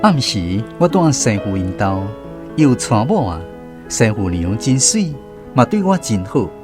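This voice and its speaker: A reflective Taiwanese narrator looking back on his boyhood in a radio play. His middle-pitched voice moves freely up and down as he explains things, with light music underneath. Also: sing-song, unhurried. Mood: puzzled, reflective. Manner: reflective storytelling, explains as he goes. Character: man recalling his boyhood as a cinema narrator's apprentice. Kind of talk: scripted first-person radio drama narration in Taiwanese.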